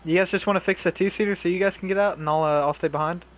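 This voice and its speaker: A man's voice: sits high, fairly even intonation. Young man gaming on a headset. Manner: loose, swearing, gives quick directions. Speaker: streamer playing a horror survival game. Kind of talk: casual gameplay chat with teammates. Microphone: console headset mic.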